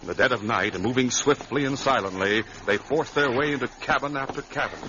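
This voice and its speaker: A man's voice: medium pitch, brisk, noisy background. A man announcing and narrating an old-time radio show with a dramatic, emphatic delivery. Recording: muffled vintage radio recording.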